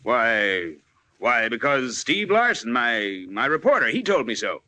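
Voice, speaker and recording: Folksy, animated small-town editor. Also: male voice, mid-range voice, unhurried, full character voice. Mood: flustered. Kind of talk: 1940s radio drama acting. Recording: noisy old-time radio recording.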